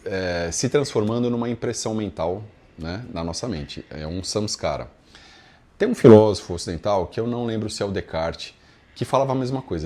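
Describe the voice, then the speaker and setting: A man speaks Portuguese with a Brazilian accent in a somewhat low-pitched voice. He teaches in an informal, explanatory style, building his points through everyday examples and punctuating them with small tags that check the listener is following. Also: a little mellow. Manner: conversational, didactic, frequent check-in tags. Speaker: yoga philosophy teacher.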